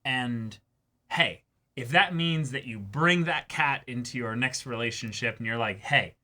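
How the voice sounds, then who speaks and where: A man co-hosting a reaction show, talking through his thoughts in casual commentary with emphatic, drawn-out phrasing and repeats. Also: medium pitch, bright, forceful tone. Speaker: co-host of an anime reaction show.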